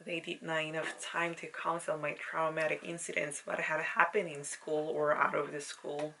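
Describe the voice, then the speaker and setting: A woman in her thirties, born in South Korea with Korean as her first language, gives a slow, earnest, scripted personal narration in English. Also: low voice, flat delivery, vocal fry, sharp tone, a little hiss or hum, poor audio. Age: 30s. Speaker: vlogger.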